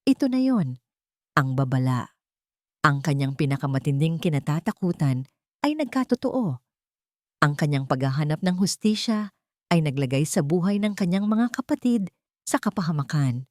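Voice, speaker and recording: Lady narrating a drama story, lowish voice, super animated delivery, theatrical. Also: normal speed, pauses often, no room sound, studio quality. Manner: theatrical, expressive.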